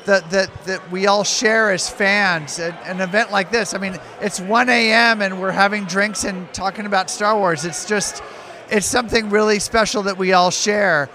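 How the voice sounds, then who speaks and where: High, bright-voiced man hosting in a bar. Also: fairly even intonation, normal speed. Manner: enthusiastic, asks rambling stacked questions. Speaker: podcast host. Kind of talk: casual bar interview. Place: busy hotel bar at night. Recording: noisy crowded bar.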